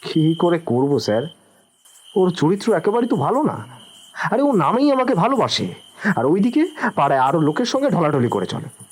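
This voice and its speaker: Casting: male actor as nervous young male suspect, evasive and stammering, higher-pitched, very animated delivery, audio drama. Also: moderate pace, pauses often, breathy edge. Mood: wary, resigned. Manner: stammering, agitated, protesting. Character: Hossain, nervous, evasive young man under police suspicion.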